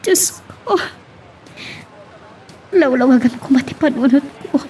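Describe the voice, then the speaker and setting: Woman acting a mellow-voiced, troubled Filipino wife. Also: high-pitched, slow, a little hiss or hum. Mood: anguished. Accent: Ilocano Filipino. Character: troubled wife and mother, conflicted and pleading. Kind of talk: Ilocano radio-style drama acting.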